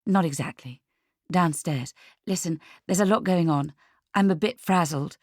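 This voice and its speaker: A woman voicing an anxious, flustered artist mother in an audiobook, speaking in a hushed, halting, breathy way. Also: lowish voice, dry recording, clean studio sound.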